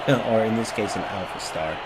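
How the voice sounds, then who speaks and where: A man's voice: lowish voice, normal speed. A man streaming a football game, commentating live with profane, ranting trash-talk about his opponents. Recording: clear background noise.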